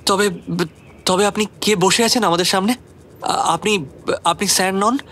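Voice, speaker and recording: Scared young man, high shaky voice, halting, radio drama, faint hiss behind. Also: fairly even intonation, fairly bright tone. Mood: fearful. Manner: trembling, halting. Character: shaken, fearful younger man in disbelief. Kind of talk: audio drama dialogue.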